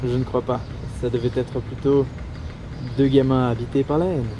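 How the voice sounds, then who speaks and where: A man's voice: mid-range voice, flat delivery, moderate pace, highs cut off, close to an everyday voice. Man as composed investigator amid city noise. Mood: composed, serious. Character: composed, wry male investigator in command. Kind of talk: French audio drama acting. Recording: noisy urban ambience.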